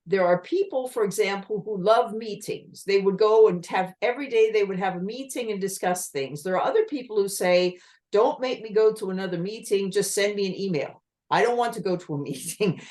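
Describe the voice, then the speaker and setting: A woman giving an online communication talk with slides, explaining in a lively manner and asking her listeners rhetorical questions over a video call. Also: mid-range voice. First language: English.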